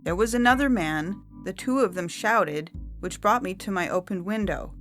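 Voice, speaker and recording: A woman's voice: medium pitch, moderate pace, fairly bright tone, close to an everyday voice. A woman playing an insistent, well-to-do witness in her seventies in a science fiction audio story. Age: septuagenarian figure. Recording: music behind the voice.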